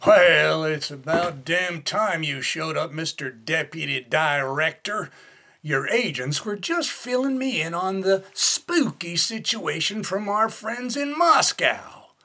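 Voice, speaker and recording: An impatient, blustering colonel for a comedic radio play. The voice is raspy and pitched high, with a bright, forceful edge. He barks demands with commanding bluster. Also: animated, takes it slowly, full character voice. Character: gruff, impatient, blustering male military colonel, demanding results.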